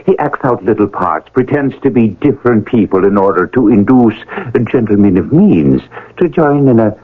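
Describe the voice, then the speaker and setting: An actor playing a politely ingratiating card-sharp in a radio drama. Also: male voice, on the low side, big pitch swings, normal speed, slightly rough. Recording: tinny old radio broadcast.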